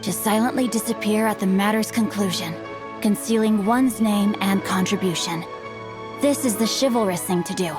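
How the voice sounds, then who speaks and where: A woman voicing a bookish, chivalrous young gentleman swordsman in a video game, composed, courteous and flowery in speech. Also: mid-range voice, normal speed, over music, lightly acted. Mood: lofty. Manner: flowery, formal, courteous, evasive.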